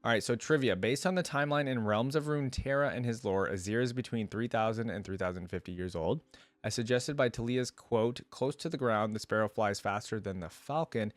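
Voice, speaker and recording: A man hosting a reaction video, casual and informal, reading aloud and thinking out loud with asides. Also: medium pitch, a little fast, no room sound.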